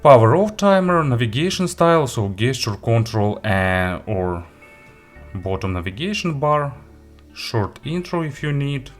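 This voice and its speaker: A man who speaks English as a second language, hosting a casual, unscripted product walkthrough in a halting way, correcting himself as he goes. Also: medium pitch, sing-song, faint music behind. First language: not English. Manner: halting, hesitant delivery with self-corrections and listed items. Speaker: tech reviewer and host.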